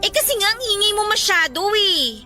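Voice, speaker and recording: A woman's voice: very high voice, very animated, fairly quick, over music. A woman playing an irritable, anxious young pregnant girlfriend in a Filipino radio drama, emotional and accusing. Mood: irritated, anxious.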